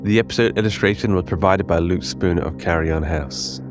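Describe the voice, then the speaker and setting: A man's voice: low voice, brisk, over music. A man hosting a fiction podcast, reading a scripted outro with credits.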